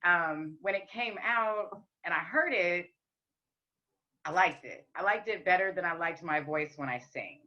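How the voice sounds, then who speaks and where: A woman with a deep, raspy voice, talking candidly as a podcast guest with frequent ums and restarts. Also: unhurried, bright, forceful tone, muffled recording. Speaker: podcast guest, rapper and singer. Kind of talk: casual interview chat.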